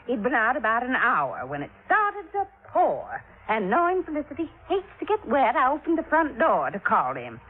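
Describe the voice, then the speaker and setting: Very animated fluttery older widow. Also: female voice, fairly high pitch, normal speed, hardly any pauses. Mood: anxious, chatty. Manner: fluttery, gushing, folksy. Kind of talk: 1950s radio drama acting. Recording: narrow, noisy vintage radio recording.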